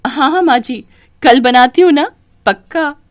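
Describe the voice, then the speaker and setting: Woman putting on a high-pitched fussy young bride. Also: unhurried, no room sound, studio quality. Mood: nervous, evasive.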